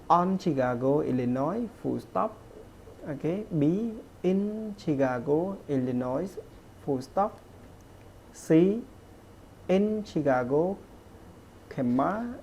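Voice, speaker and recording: Teacher lady, low gravelly voice, slow, faint hiss behind. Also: dark tone. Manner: slow, repeats okay and everyone often, refers to herself as teacher. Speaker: English teacher. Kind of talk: online grammar lesson.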